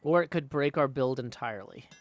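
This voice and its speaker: A man's voice: mid-range voice, fairly quick, no room sound. A man streaming a video game, chatting casually, muttering to himself and reading game text aloud.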